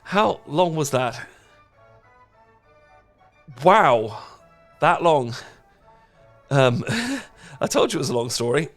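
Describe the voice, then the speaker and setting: A man's voice: a little high, sing-song, takes it slowly, pauses often, soft background music, heavily acted. A British man playing a cute, awkward young dork in a romantic roleplay, stammering and rambling as he talks. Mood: flustered. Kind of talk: romantic ASMR roleplay acting.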